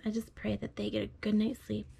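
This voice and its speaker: A woman speaking gently, as a parent does to a small child at bedtime. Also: medium pitch, completely flat delivery, a little fast, creaky, slight background noise. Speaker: parent reading aloud. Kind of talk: bedtime storybook read-aloud. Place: bedroom at home.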